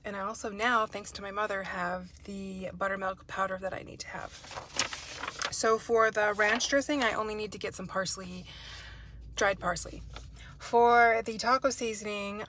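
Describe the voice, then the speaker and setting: A woman in her thirties chatting to a handheld phone camera, listing ingredients and thinking out loud, first in a parked car and then in her kitchen. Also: medium pitch. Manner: chatty, lists items aloud, thinks out loud. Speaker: home cooking vlogger. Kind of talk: casual talk to camera. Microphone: phone camera held at arm's length.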